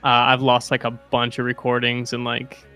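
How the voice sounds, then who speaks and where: A man's voice: mid-range voice, monotone, normal speed, vocal fry, sharp tone, clean tone. A man with a mid-range voice chats casually about his music. He is talkative and easygoing, peppering his speech with "dude" and "like". Faint music sits in the background. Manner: chatty, says "dude" and "like" a lot. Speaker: music producer. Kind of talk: casual chat on a livestream.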